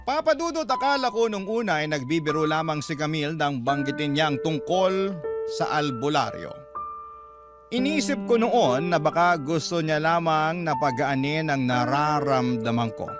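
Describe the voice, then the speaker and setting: A man's voice: a little high, expressive, moderate pace. A male radio narrator giving an earnest, dramatic first-person reading of a listener's letter. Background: loud music.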